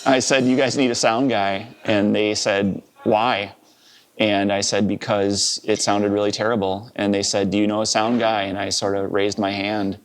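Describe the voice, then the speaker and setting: A man in his fifties with a Wisconsin accent, a live sound engineer telling his story in a relaxed, rambling interview. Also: on the low side, normal speed, slight background noise. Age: 50s. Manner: relaxed, rambling, with many "uh" and "you know".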